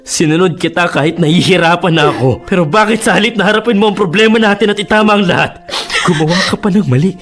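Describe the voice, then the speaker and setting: An actor playing an earnest, hurt and principled man in a Tagalog radio drama, speaking in an emotional, halting way. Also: sits high, a bit bright. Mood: pained, resolute. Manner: emotional, pleading, halting delivery.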